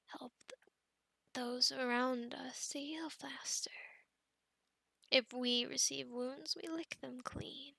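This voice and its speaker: A woman playing a possessive, obsessive female werewolf in an ASMR roleplay, often whispering, formally worded and softly menacing, close to the microphone. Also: high-pitched, no room sound, exaggerated voice. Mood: teasing, tender. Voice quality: breathy whispering. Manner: slow, formal wording, soft menacing coaxing, often whispering.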